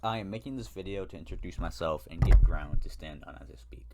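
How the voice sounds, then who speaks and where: Deep-voiced young American man ranting. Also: a little fast, noisy background. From United States. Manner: rambling, self-correcting, wry asides. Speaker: YouTuber. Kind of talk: ranting solo monologue.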